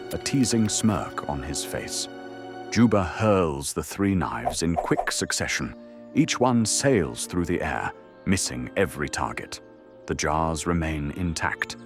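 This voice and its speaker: A man's voice: rumbling low, very animated, slow. A man reading dramatic scripted narration for an anime audio drama. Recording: music under the narration.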